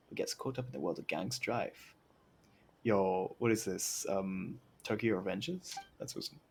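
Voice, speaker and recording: Young man, lowish voice, game streamer, casual commentary, slangy, rambling, jokey, faint music behind. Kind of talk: casual live game stream commentary, reading aloud and reacting.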